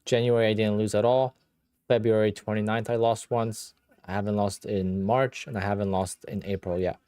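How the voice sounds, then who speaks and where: A man's voice: lowish voice, flat delivery, moderate pace, dark tone, clear, resonant tone, no echo. A man with a Polish accent, Polish his first language, hosting a casual livestream and answering viewer questions in a blunt, offhand way. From Poland. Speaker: livestream host.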